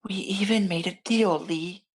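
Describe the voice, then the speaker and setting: Casting: woman to play a wounded, resolute boy with a medium-pitched voice, table-read drama. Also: measured pace, lightly acted. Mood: accusing, hurt. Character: determined, hurt boy confronting an adult.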